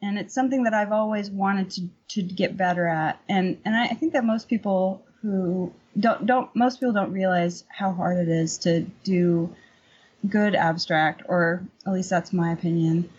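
A middle-aged woman with a husky voice, talking candidly and informally as an interview guest, rambling a little. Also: lowish voice, steady tone, moderate pace, a little mellow, dull audio. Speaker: painter, podcast guest. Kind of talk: casual interview chat.

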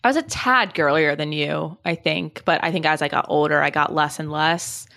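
A woman's voice: sits low, moderate pace, vocal fry, fairly bright tone. A woman with an American accent co-hosting a podcast, chatting casually and telling personal stories in a candid, reflective way. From born in Germany, lived in England, in the US from age seven. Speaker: podcast co-host.

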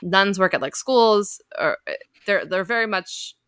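A woman's voice: medium pitch, expressive, a little slow, fairly bright tone, clean tone, dry recording, studio quality. A woman hosting a podcast, telling a story in a casual, chatty way with lots of filler words and playful asides. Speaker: podcast host.

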